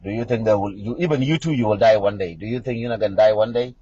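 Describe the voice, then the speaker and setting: A middle-aged South Sudanese man talks back at viewer comments in a husky, low voice. He is combative and repetitive and keeps hammering the same point. The sound is dull and lo-fi, and the room is dry. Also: quick pace, dark tone, dry recording. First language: Nuer. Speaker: livestream commentator. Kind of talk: livestream talk answering viewer comments.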